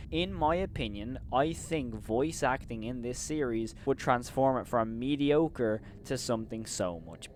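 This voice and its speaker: A man hosting a commentary video, giving opinionated criticism, leaning on "of course" and "in my opinion" and turning loud and incredulous when he rants. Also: medium pitch, a little hiss or hum. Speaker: host and reviewer.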